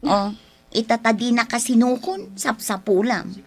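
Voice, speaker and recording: A blunt young Filipino woman in a radio drama. Her voice is high, and she talks like a friend who readily scolds. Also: measured pace. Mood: sceptical.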